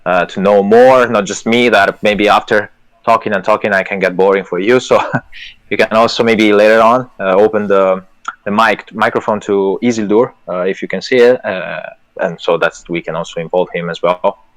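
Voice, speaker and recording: Italian-native guy, kinda low voice, lots of uhs and restarts, earnest and a bit self-deprecating. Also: fairly even intonation, normal speed, low-quality recording. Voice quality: hesitant, many fillers and restarts. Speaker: project business development lead, guest. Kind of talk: live audio space chat.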